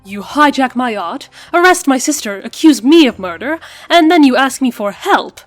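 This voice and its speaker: A bitter, indignant man, a lawyer locked in a cell, snapping back defensive retorts, as a woman reads him in her own tired, weak voice. Her pitch sits a little high, and faint music plays underneath. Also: animated, moderate pace, dry recording.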